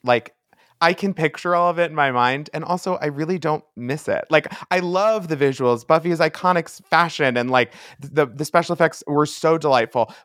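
A man's voice: on the high side, brisk, fairly bright tone, no room sound, studio quality. A man in his thirties co-hosting a podcast, speaking effusively and emphatically in a casual fan discussion. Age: 30s. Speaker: podcast host.

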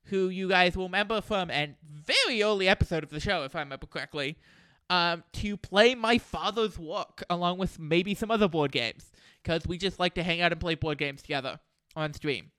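A man's voice: high voice, normal speed, pushed and bright, clear, resonant tone, no room sound. A man hosting a podcast, talking in a chatty, informal, rambling way. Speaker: podcast host. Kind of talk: casual podcast chat.

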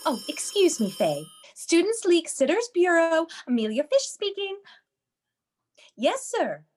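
A woman's voice: very high-pitched, huge pitch swings, unhurried, darkish tone, full character voice. A woman playing a fussy, motherly manager of a college babysitting bureau in a radio play, with chirpy, solicitous politeness. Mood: polite, businesslike. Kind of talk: classic radio play acting.

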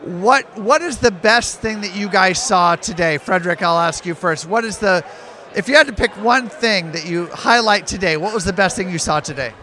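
A man's voice: high-pitched, moderate pace, pushed and bright. A man hosting a podcast, interviewing fans in a hotel bar late at night, enthusiastic and prone to stacking several questions at once. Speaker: podcast host. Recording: noisy crowded bar.

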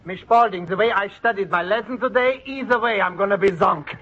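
A man's voice: sits high, fairly quick, lightly acted. A man playing a jolly, wisecracking immigrant pupil in an old radio comedy, clownish and mock-innocent. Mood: joking. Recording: noisy, dull vintage radio recording.

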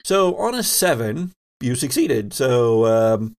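A man hosting a tabletop role-playing game, explaining the rules in a halting, self-correcting way. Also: mid-range voice, very animated. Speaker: host and game master.

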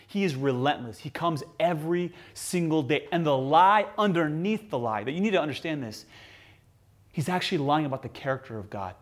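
A young adult man preaching a sermon as a pastor, telling stories with emphasis and swinging between loud lines and hushed ones. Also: a little high. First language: English.

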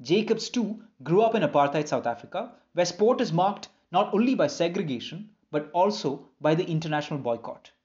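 A man narrating a documentary script in an even, informative way. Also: on the high side, fairly quick, hardly any pauses. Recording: dull lo-fi sound.

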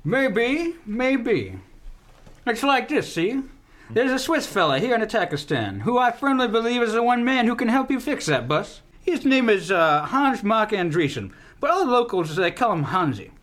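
Blustery military commander, played by a man: high voice, folksy tangents, scheming officer in a comedy radio play. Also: sing-song, normal speed, a bit bright, exaggerated voice. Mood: folksy, rambling. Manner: folksy, rambling, drawling asides. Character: blustery American general, scheming, folksy, older male officer. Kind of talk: comic radio play acting.

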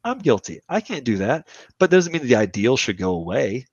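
A man's voice: mid-range voice, very animated, moderate pace, no room sound. A man in his thirties, a podcast guest, talking in a casual, emphatic and rambling way and giving strong opinions. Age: 30s. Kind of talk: casual conversational podcast chat. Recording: dull, dry lo-fi podcast audio.

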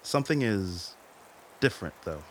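Man acting a low-voiced, dutiful army major. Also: sing-song, normal speed, a little hiss or hum. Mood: guarded. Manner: formal, clipped, serious. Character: dutiful male military major reporting to his commander. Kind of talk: radio play acting.

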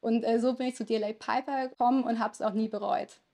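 Chatty woman lawyer, slightly high voice. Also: fairly even intonation, fast talker, vocal fry, dark tone. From Lower Saxony, Germany. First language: Italian. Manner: chatty, frequent hesitation fillers. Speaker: lawyer, podcast guest. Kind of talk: conversational interview answers.

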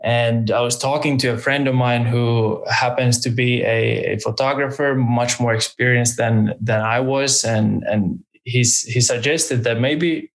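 Guy, photographer guest, slow and hesitant, keeps restarting. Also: mid-range voice, fairly even intonation, low-quality recording. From Finland. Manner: hesitant, many restarts and fillers. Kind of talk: casual interview talk.

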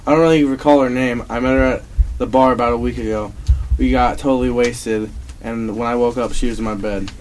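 A man's voice: mid-range voice, steady tone, brisk, a little mellow, natural voice. A man playing a hapless, protesting murder suspect in an amateur old-time radio drama, on a noisy recording. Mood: sheepish. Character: hapless cheating neighbor, a murder suspect under questioning.

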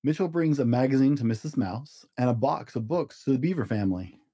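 A man reads a children's storybook aloud as the host of a read-along. His voice is relaxed and mellow, with animated rises and falls. The sound is dry, and the delivery is simple and expressive for young listeners. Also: medium pitch, a little fast, breathy edge, no echo.

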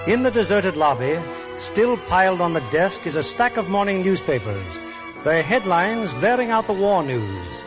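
A man with a fairly high voice narrates as a 1940s radio host. His delivery is polished and theatrical, with music clearly behind him. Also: big pitch swings, moderate pace.

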